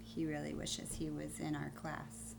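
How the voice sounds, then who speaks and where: Slow-talking woman teacher with noise behind. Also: deep voice, monotone, gravelly. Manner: deliberate explaining, spells words out letter by letter. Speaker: primary school teacher. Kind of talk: phonics lesson to young pupils on video.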